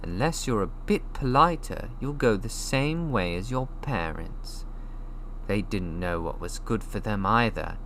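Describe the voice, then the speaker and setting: A man's voice: fairly low pitch, animated, unhurried, a bit bright, slight background noise. A man voicing a snobbish, sneering young schoolboy wizard with a mocking drawl, reading a storybook. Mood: sneering menace.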